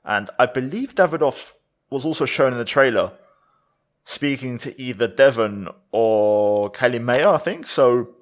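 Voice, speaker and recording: A man's voice: mid-range voice, unhurried. A man giving casual gameplay commentary as the host of a gaming video. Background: faint game music.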